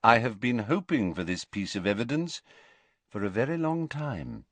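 A man voicing a calm, grave elderly wizard headmaster in an audiobook, quiet and measured. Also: mid-range voice, no echo. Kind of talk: audiobook character voice.

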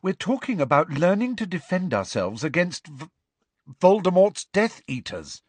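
A man voicing an earnest, bossy teenage girl student who talks briskly and a little impatiently. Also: high voice, big pitch swings, husky, no echo, pitched above the performer's own voice, full character voice.

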